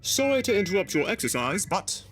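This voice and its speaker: A man's voice: high-pitched, sing-song, fairly quick, over music. A man playing a nervous, deferential military subordinate in an audio drama, hesitant and stammering.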